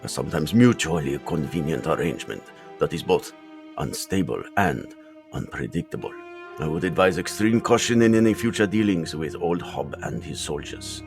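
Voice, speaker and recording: Man playing old male mutant rat sensei, deep voice, solemn, formal, authoritative, motion comic drama, strong background music. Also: expressive, moderate pace, gravelly, full character voice. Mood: grave, measured. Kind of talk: motion comic fan dub acting.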